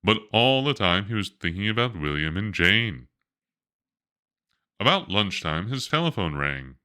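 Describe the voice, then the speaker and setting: Guy reading a bedtime story, deep bright voice, takes his time. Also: sing-song, measured pace. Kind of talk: expressive storybook narration.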